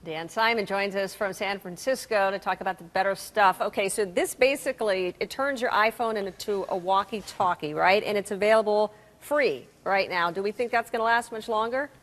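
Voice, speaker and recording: A woman's voice: medium pitch, moderate pace, few pauses, a bit bright, long reverb tail. A woman presenting broadcast news as an anchor.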